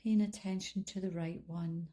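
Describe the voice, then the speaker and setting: A woman leading a guided body scan meditation in a calm, soothing, unhurried manner, leaving long pauses between instructions. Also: on the low side. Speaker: meditation guide, life coach.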